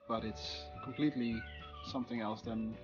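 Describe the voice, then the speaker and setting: A young man from the Netherlands with a Dutch accent, chatting calmly to camera in English, a little hesitant, outdoors on a patio. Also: mid-range voice, normal speed, muffled recording, over music. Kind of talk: casual chat to camera. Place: outdoor patio by a wooden fence.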